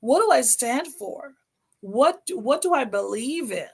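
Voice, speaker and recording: Hoarse woman hosting a motivational podcast. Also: high voice, animated, unhurried. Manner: warm encouraging, direct address to listeners. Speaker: podcast host.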